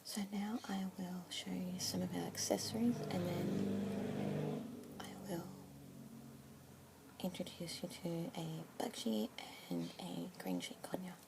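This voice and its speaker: A young woman with an Australian accent whispering softly and gently as a pet adoption consultant in an ASMR roleplay. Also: fairly low pitch, lively intonation, vocal fry. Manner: soft whispering, gentle and unhurried. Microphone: close sensitive ASMR mic. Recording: noisy hiss behind close whisper.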